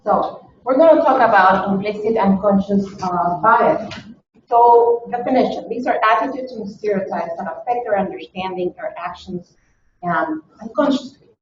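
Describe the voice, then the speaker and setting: A woman's voice: mid-range voice, moderate pace, slight background noise. A woman from Chile, a language teacher, lectures on bias. She explains point by point and often checks in with "okay?". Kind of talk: workshop lecture. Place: meeting room. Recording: distant, echoey, dull room recording.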